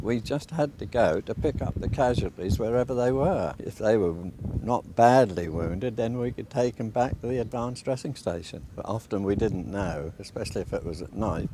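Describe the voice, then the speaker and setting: Deep-voiced elderly man over loud noise. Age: 80s. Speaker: war veteran being interviewed. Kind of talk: personal recollection. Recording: loud background noise, clicks.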